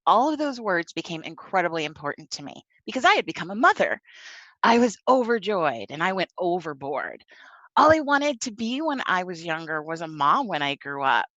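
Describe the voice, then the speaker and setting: A woman's voice: on the low side, fairly bright tone, dry recording, dull audio. A woman around forty giving a prepared personal talk in a candid, wry, emphatic conversational way. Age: 30s to 40s. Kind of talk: prepared personal testimony read aloud, conversational.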